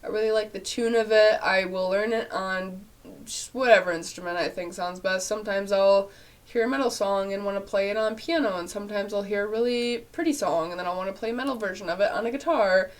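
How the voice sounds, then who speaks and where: A woman's voice: medium pitch, fairly quick. A young woman with a nasal, congested voice, chatting casually to her webcam as she answers viewer questions at home. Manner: chatty, rambling, frequent fillers and laughs. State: nasal and congested after illness. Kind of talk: casual vlog chat. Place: small bedroom at home, indoors.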